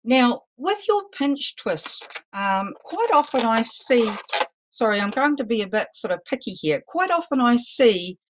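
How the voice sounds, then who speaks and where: A woman teaching a live balloon-twisting lesson, talking loudly and chattily with some self-deprecating humor. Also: a little high, big pitch swings, measured pace, background noise. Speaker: balloon artist teaching a workshop. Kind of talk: live online demonstration lesson.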